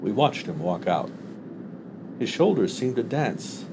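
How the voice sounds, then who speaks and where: A man narrating a literary short story as an audiobook reader, with expressive delivery. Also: medium pitch, unhurried, background noise.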